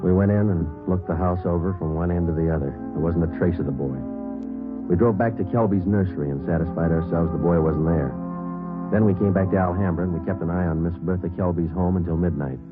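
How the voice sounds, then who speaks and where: A man plays a deep-voiced, businesslike detective sergeant in an old radio crime drama. He fires off facts quickly in a clipped deadpan. The muffled vintage recording has music with it. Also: lightly acted. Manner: deadpan, clipped, matter-of-fact. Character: terse, businesslike male police detective sergeant narrating cases.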